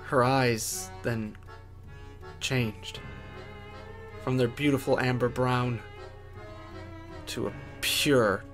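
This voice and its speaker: A man narrating a first-person horror story in a theatrical way, with deliberate pauses. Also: mid-range voice, fairly even intonation, a bit bright. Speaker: writer and narrator. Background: music.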